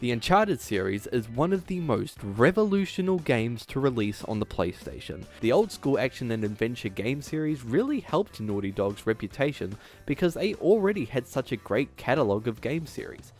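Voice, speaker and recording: Guy doing a scripted video essay, really animated pitch, talks emphatic and chatty, faint music under it. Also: normal speed, few pauses. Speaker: YouTube video essayist, host. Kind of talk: scripted commentary on video game movies.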